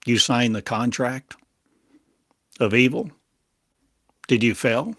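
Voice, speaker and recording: A man delivering a channeled prophetic monologue in halting, fragmented, oracular phrases full of coined words. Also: medium pitch, husky, no echo, clean studio sound.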